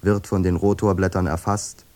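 A man announcing the stage lines and credits of a radio play in a measured, formal way. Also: deep voice. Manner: slow, measured, even delivery.

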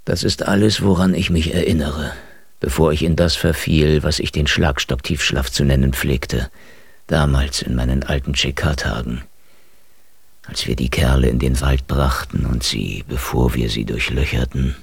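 An actor playing a hardboiled, world-weary agent who narrates grim memories in a radio drama, laconic and gravelly with a breathy undertone. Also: male voice, rumbling low, fairly even intonation, normal speed, no room sound, exaggerated voice. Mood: grim, brooding. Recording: loud music under the voice.

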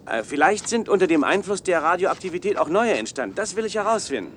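A man's voice: sits high, lively intonation, quick pace, slight background noise. An actor playing an awkward, earnest young male biochemistry student in a science-fiction radio drama, with hesitant, apologetic delivery. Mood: earnest, eager.

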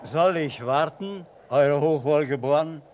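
A man's voice: a little high, a little slow, darkish tone, a little hiss or hum, lightly acted. An actor in a German radio play playing a humble Russian sleigh driver who calls out deferentially to his betters. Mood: servile, eager.

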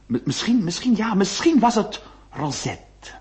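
A man's voice: high-pitched, very animated, measured pace, a little mellow. A male actor playing a flirtatious, easily flustered notary in a radio play, confiding and hesitant. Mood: baffled. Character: flirtatious, flustered ladies' man notary telling his story. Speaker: lead actor and narrator. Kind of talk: theatrical radio drama acting.

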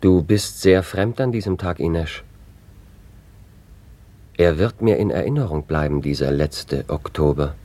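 An actor playing a gentle, melancholy fiancé in a German radio play, speaking in a subdued, tender and patient way. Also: male voice, low-pitched, a little slow, pauses often. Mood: melancholy, questioning.

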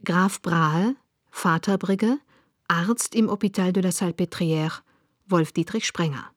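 Slow, low-voiced woman announcer. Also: dry recording, clean studio sound. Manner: measured, formal. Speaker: radio drama announcer. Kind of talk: formal scripted credits reading.

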